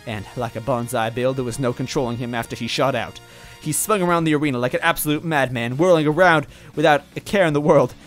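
A man giving a playful, dramatic reading of fan fiction, with wry asides. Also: on the high side. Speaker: storyteller. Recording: faint music underneath.

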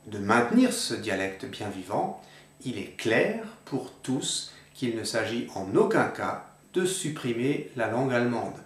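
A middle-aged man giving a measured, didactic explanation to camera in a small indoor room. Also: mid-range voice, distant mic. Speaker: video presenter on regional language. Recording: echoey untreated room.

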